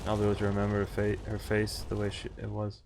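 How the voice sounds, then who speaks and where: A man's voice: lowish voice, monotone, fast talker, gravelly, darkish tone, a little hiss or hum. A man streaming a game, reading visual novel text aloud and commenting in a casual, sweary way. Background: faint game music.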